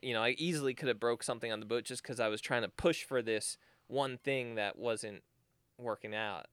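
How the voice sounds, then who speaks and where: A young man at a middle pitch, his voice smooth and resonant, recorded dry and close. He tells his story in a loose, easygoing way, full of "like" and small laughs. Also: brisk, fairly bright tone, no echo. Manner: laid-back, rambling with fillers and chuckles. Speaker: guest sailor. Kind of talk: casual storytelling.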